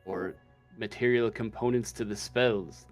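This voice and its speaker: A man running a tabletop game as game master, improvising the narration haltingly as he thinks aloud. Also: on the low side, fairly even intonation, soft background music.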